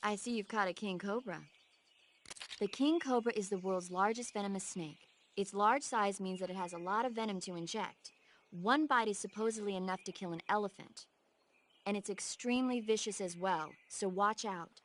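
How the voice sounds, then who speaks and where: An actress playing an earnest, knowledgeable support advisor in a video game, explaining things informatively. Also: female voice, mid-range voice, moderate pace, a bit bright, slight background noise, close to an everyday voice. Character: knowledgeable female radio support advisor, earnest, easily exasperated.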